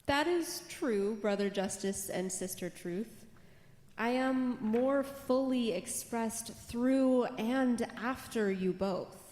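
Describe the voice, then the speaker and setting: A woman playing Peace, a steady, self-assured motherly figure, in a dramatized church reading. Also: on the high side, slow pace. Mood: assured, earnest. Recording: echoey hall, long reverb.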